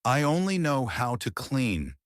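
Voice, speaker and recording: Man playing a grateful working woman, earnest, polite, raised pitch, dramatic audio story. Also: sing-song, measured pace, dry recording, clean studio sound. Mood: disbelieving.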